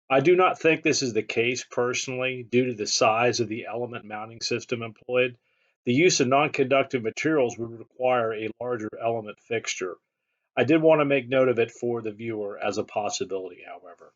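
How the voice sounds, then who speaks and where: A man giving a measured, matter-of-fact technical narration as the host of a hobbyist channel. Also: mid-range voice, dull audio. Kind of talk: explanatory scripted narration.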